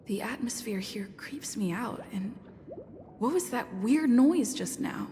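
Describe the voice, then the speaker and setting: A woman voicing a wary, curious young female traveler in a video game, hushed and wondering. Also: a little high, lively intonation, a little slow, a little hiss or hum. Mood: uneasy wonder. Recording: long hall reverb, faint music behind.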